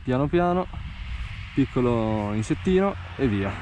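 A man's voice: mid-range voice, lively intonation, a little mellow. A young man by a canal, talking casually as he fishes and coaches his friend, boasting a little. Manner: coaching and boastful, quick directions. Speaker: fishing vlogger. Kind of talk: casual outdoor fishing chat. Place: outdoors by a rural canal. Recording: outdoor camera audio with clear background noise.

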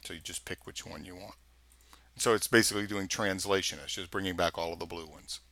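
A man with a Texas accent, walking through a software screencast in a casual, think-aloud way. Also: low-pitched, steady tone, fairly quick. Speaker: software instructor. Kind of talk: screencast tutorial walkthrough. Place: voice-over of a screen recording.

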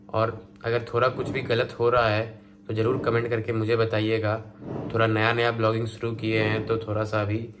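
A young man, casually narrating a train travel vlog in a chatty, steady way. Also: on the low side. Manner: chatty, steady, plain delivery. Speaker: train vlogger. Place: inside a train and rooms. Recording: loud train and vehicle noise.

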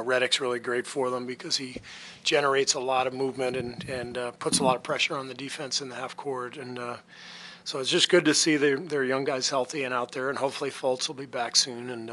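Man, fifties, bright clear tone, relaxed halting answers, table mic, press room. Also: mid-range voice, rough recording. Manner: relaxed, halting, many fillers and restarts. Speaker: basketball head coach. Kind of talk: postgame press conference answers. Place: press room before a sponsor backdrop. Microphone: table mic on a stand.

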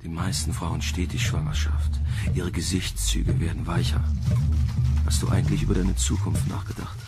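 A suave male reporter in a crime radio play, with a low voice over prominent music. He is smug and flirtatious, needling theatrically. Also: little pitch movement, moderate pace.

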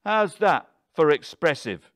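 Tense older husband for an audiobook, acted by a male narrator: bitter, combative, quick-tempered, voice pushed up. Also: on the high side, sing-song, a bit bright, voice pushed up, exaggerated voice. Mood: frenzied. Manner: defensive, confrontational.

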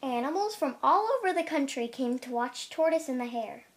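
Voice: high voice, animated, fairly quick. A young reader reading a children's picture book aloud, carefully, with the occasional stumble. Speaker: storybook reader.

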